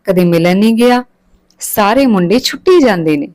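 A friendly senior officer acted out by a woman storyteller, informal and probing with a subordinate. It is story dialogue at a woman's medium pitch. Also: expressive, normal speed, a little mellow, dry recording, natural voice.

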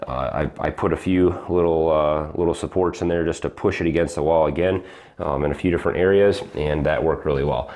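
A man in his thirties giving a casual walkthrough of his basement renovation, talking in a halting way with many ums and restarts, on a lapel mic. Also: a bass voice, darkish tone. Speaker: DIY home renovation vlogger. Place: unfinished basement, indoors. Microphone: lapel mic, handheld camera.

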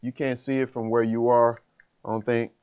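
A man's voice: medium pitch, level tone, moderate pace, smooth. A man in his thirties with an American accent, explaining gear step by step in a casual, informal tutorial. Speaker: music instructor. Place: small music studio, indoors. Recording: dull sound with humming background noise. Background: electrical hum, fan noise.

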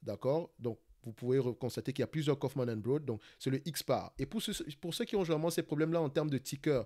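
A man with a Cameroonian French accent who hosts an investing channel, talking quickly through a live screen-share stock analysis, often restarting phrases and checking in with "d'accord?". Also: medium pitch, darkish tone. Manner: fast talker, searches for words, many restarts and "d'accord?".

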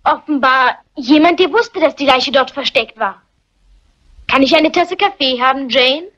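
A woman's voice: sits high, a little slow, a bit bright, highs cut off. A woman playing a precocious, cheeky young boy who talks in pompous, grown-up phrases in crime drama dialogue. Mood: smug. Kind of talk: German crime film dubbing, theatrical dialogue. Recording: faint noise, clicks, vintage soundtrack.

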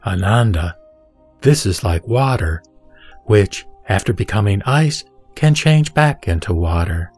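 A man voicing a serene, authoritative holy teacher in a scripture audio drama, solemn, didactic and measured. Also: on the low side, lively intonation, a little mellow, breathy edge, faint music behind. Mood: solemn teaching.

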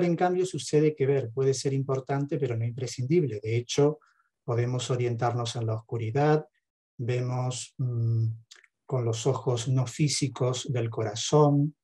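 Male lecturer, middle-aged, Argentine accent. Unhurried and measured, mellow timbre, reflective talk with pauses. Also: medium pitch. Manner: measured, hesitant pauses between phrases. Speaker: speaker, theologian and counsellor. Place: home study with bookshelves. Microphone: headset on a video call.

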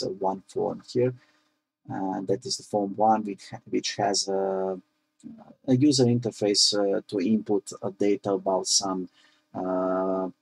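A man whose first language is Croatian, walking through a coding tutorial in a halting, explanatory way with frequent "uh" fillers, recorded at his computer. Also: sits low, creaky, slightly rough, no echo, low-quality recording. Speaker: programming tutorial presenter.

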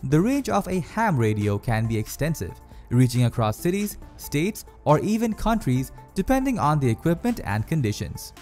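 A man's voice: on the high side, very animated, normal speed, darkish tone. A man reading a scripted explainer as a video narrator, in a polished, presentational manner. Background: faint background music.

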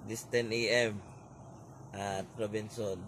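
Filipino man, forties, selfie vlog, very slow halting speech, lowish voice, faint hiss behind. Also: poor audio. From Philippines. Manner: excited, giggly, halting English mixed with Filipino. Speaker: vlogger. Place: small bedroom, indoors. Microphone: phone camera held close.

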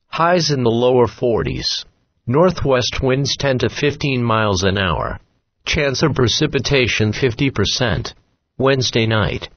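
A muffled, low-fidelity weather radio recording with no room sound carries a synthetic man's voice. He sits at a medium pitch and reads forecasts like an automated announcer, in clipped, formulaic phrases. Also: no echo. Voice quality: synthetic text-to-speech voice. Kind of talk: scripted weather forecast readout.

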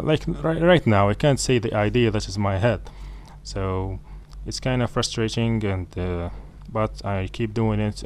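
A man's voice: low voice, darkish tone, a little hiss or hum. A man whose first language is Arabic, walking through code in a screen-recorded programming tutorial, with frequent fillers and restarts. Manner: halting, many fillers and self-corrections.

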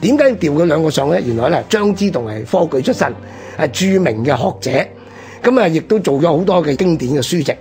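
A male radio co-host with a Hong Kong Cantonese accent and a husky voice, telling history in an animated, conversational way. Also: on the high side, a little fast, soft background music.

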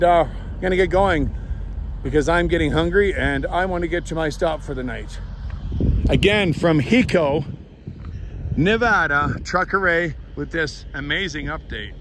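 Middle-aged trucker guy, rambling and earnest, a bit high voice, phone at arm's length outside, faint wind noise. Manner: chatty, rambling, earnest, with fillers. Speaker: truck driver vlogger. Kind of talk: casual roadside vlog update. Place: outdoors by a parked truck in a canyon. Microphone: phone held at arm's length.

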